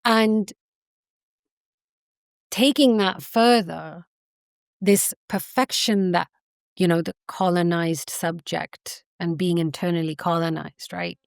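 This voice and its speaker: A woman from England talks as an academic interview guest, earnest and impassioned, leaning on "you know" as she goes. Her voice sits low with a gravelly fry at the ends of phrases. The sound is close and dry. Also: fairly even intonation, slow pace, long pauses, no room sound. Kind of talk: conversational interview.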